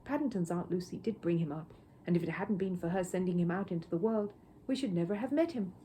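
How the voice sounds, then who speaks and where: A woman voicing a gentle, wise older man in a children's storybook, courteous and softly reproving. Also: fairly low pitch, quick pace, a little hiss or hum, natural voice.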